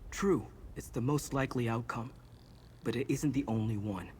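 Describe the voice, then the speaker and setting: A man's voice: medium pitch, normal speed, darkish tone, close to an everyday voice. Male actor as resolute game ally, firm, level-headed, middle register, faint background noise. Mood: reassuring. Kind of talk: video game dramatic acting.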